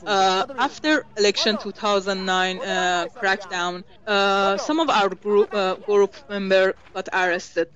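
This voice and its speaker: A woman from Iran in her thirties, speaking English as a second language in an interview, with many pauses and fillers. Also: medium pitch, fairly even intonation, highs cut off, a crowd in the background. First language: Persian. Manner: hesitant, many fillers and restarts. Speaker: activist. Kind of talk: interview answers.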